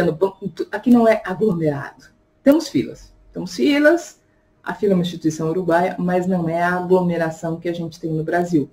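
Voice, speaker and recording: A woman's voice: lowish voice, darkish tone. A woman from Brazil talking casually to her channel in a reflective personal vlog, chatty, often checking in with her viewers. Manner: chatty, reflective, frequent tag questions. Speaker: vlogger and tarot reader.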